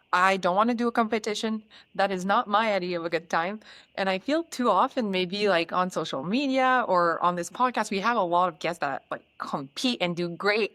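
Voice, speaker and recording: A woman's voice: medium pitch, fairly bright tone, no echo. Chatty woman podcast host, dry sound. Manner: chatty, enthusiastic, casual. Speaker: podcast host, pole studio owner. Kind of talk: podcast interview.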